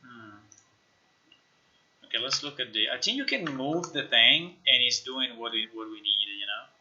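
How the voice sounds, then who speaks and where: A man live-streaming as a game developer, chatting casually and haltingly as he thinks aloud while demonstrating software. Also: medium pitch, expressive, lo-fi sound.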